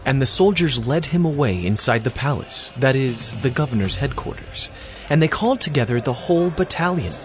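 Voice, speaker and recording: A man's voice: medium pitch, lively intonation, fairly quick. A man narrating scripture from a script in a dramatized audio production.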